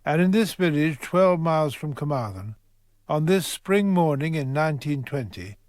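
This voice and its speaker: A man's voice: fairly high pitch, animated, moderate pace, a little mellow, no room sound, clean studio sound. A man narrating a scripted radio drama as a wry storyteller.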